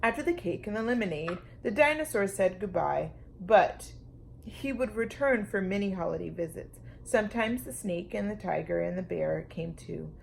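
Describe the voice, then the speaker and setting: A woman storytime reader with a noticeably creaky voice at an average pitch. She reads a children's book expressively, placing deliberate, dramatic emphasis on phrases. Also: expressive, slight background noise, rough recording.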